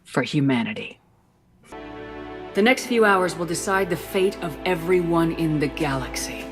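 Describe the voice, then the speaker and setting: A woman's voice: low-pitched, expressive, normal speed. A woman playing a resolute heroic military commander from a sci-fi video game, solemn and rallying. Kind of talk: video game character acting. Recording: music behind the voice.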